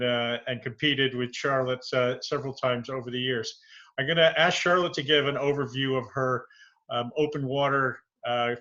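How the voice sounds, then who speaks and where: A man interviews his guest over a video call, stumbling and repeating words as he sets up each question. His voice has an ordinary middle pitch. The audio sounds dull and lo-fi, missing its top end. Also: sharp tone. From Vermont, USA. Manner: halting, repeats words and fills with uh. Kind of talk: casual interview.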